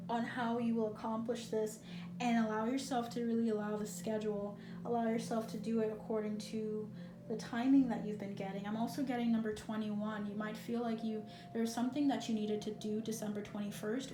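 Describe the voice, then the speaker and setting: A woman giving a pick-a-card tarot reading, talking in a gentle, reassuring, musing way. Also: on the high side. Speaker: tarot reader. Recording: echoey room with noise.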